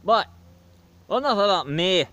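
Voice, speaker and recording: A man's voice: high voice, lively intonation, measured pace, pushed and bright, no echo, pitched above the performer's own voice, heavily acted. A man voicing a cheeky male leprechaun movie reviewer, with a put-on Irish accent and a jokey, rambling delivery full of fillers. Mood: jolly.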